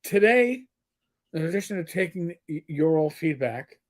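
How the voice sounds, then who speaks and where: A man's voice: fairly high pitch, lively intonation, normal speed. A middle-aged man with a New York accent, co-hosting a casual livestream chat and rambling with many fillers and restarts. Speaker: podcast co-host.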